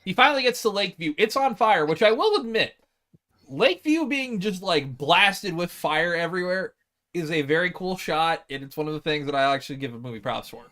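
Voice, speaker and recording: A man co-hosting a casual film podcast, with a voice a little higher than average. He rambles and halts as he goes, swearing freely. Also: animated, fairly quick, bright, forceful tone. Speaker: podcast co-host. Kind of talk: casual film discussion with friends.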